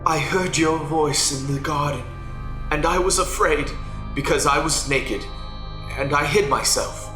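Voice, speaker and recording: A man voicing the first man in a dramatized scripture audio drama, speaking slowly and earnestly. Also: fairly high pitch, natural voice. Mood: afraid. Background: background music.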